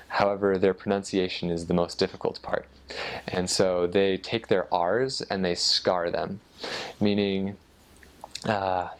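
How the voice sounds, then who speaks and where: A man with an American accent giving a casual explanation, halting a little as he thinks aloud. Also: low voice. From United States. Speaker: former missionary explaining Norwegian dialects.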